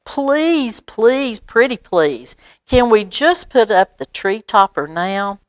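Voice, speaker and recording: A woman voicing an excitable, dramatic little girl from a picture book, gushing and exclaiming with drawn-out words. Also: fairly high pitch, clear, resonant tone, no echo, studio quality, voice pushed up. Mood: pleading.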